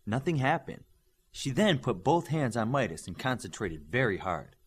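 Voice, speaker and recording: A man's voice: mid-range voice, sing-song, normal speed, husky. A man reading a scripted story aloud as an audiobook narrator. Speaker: audiobook reader. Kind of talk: scripted fiction narration with character voices.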